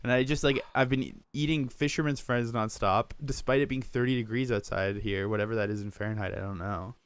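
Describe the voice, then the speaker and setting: A man co-hosting a podcast in casual chat, raspy from a sore throat, joking at his own expense and laughing often. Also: mid-range voice, steady tone, brisk. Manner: self-mocking, laughs often. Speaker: podcast co-host.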